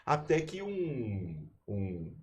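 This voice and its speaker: A man in his forties, a university chaplain and pastor, telling his story in a relaxed podcast chat with frequent restarts. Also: lowish voice, very slow, husky. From Brazil. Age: 40s. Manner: warm, storytelling, with many restarts. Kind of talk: casual podcast conversation.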